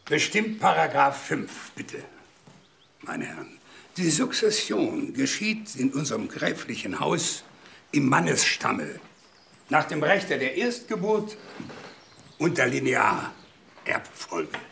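A man's voice: on the high side, sing-song, slow, vocal fry, pauses often, bright, forceful tone, a little hiss or hum, exaggerated voice. An older man playing a proud, imperious old German count in a crime drama, formal, curt and indignant. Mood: guarded, formal.

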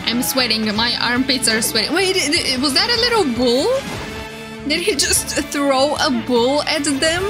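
High-voiced female streamer, excitable live reactions, repeats herself when flustered, music underneath. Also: moderate pace. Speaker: VTuber streamer reacting to game trailers. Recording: game trailer music behind voice.

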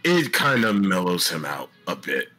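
Man playing a low, animated robot bear guide. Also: normal speed, over music. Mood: wry warning. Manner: urgent, repetitive coaching with sudden yelps. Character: protective robot bear companion giving urgent guidance. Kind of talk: improvised comedic fandub.

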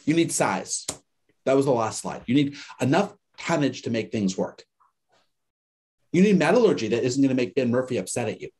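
A man teaching an online class in a lively, conversational way, telling stories and acting out the people he quotes. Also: mid-range voice, moderate pace. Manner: animated storyteller, acts out quoted people. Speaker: course instructor.

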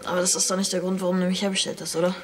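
A man's voice: sits high, level tone, very fast, over music, natural voice. A young male actor playing a sullen, lovesick teenage schoolboy in a TV drama, with a curt, sarcastic manner. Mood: earnest. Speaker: actor in a youth TV series. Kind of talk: scripted TV drama dialogue.

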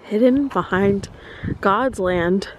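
A woman's voice: medium pitch, takes it slowly, noisy background. A woman in her twenties with an American accent, chatting casually and playfully as she vlogs into a handheld camera. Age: 20s. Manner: informal, playful. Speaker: travel vlogger. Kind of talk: casual vlog chat to camera. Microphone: handheld camera at arm's length. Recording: music behind the voice. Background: music.

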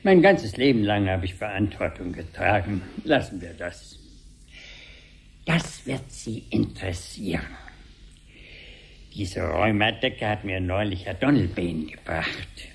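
A man's voice: fairly low pitch, expressive, measured pace, creaky. A man playing a fussy, proud, elderly caretaker with a homely, self-important manner in a 1970s German radio play. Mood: proud, cosy. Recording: hissy 1970s radio drama recording.

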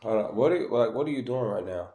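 A man's voice: medium pitch, lively intonation, quick pace, warm tone. A young man on prank calls, questioning people in a stern, confrontational way, picked up by a camera across the table. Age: young adult. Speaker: prank caller. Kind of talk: prank phone call, mock-official questioning. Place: small room indoors at a table.

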